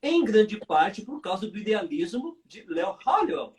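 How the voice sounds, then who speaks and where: A male pastor hosting a live online interview, enthusiastic and quick to chime in. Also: sits high. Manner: enthusiastic and lively, interjects often. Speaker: pastor host. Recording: roomy sound, distant from mic.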